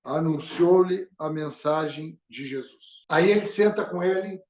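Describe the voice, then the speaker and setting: A man's voice: fairly high pitch, unhurried, a little mellow. A man preaching a sermon, full of rhetorical questions and calls of amen. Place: church hall. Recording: echoey church hall.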